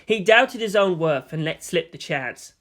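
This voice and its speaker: Audiobook-style narrator, mid-pitched voice. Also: expressive, measured pace, fairly bright tone. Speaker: story narrator. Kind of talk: dramatised fan fiction reading.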